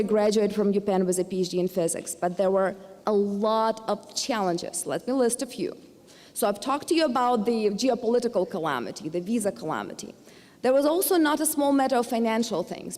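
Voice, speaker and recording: A woman's voice: a little high, a little mellow. A middle-aged woman from Ukraine with a Ukrainian accent, giving an earnest commencement address as a university trustee, with hesitant fillers and restarts. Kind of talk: formal commencement speech. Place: large hall. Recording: long hall reverb.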